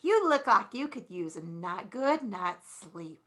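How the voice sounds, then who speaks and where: A woman voicing a dainty, indulgent reverend's wife in a comedic fan fiction reading, gentle and motherly. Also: medium pitch, very animated, unhurried, pitched below the performer's own voice.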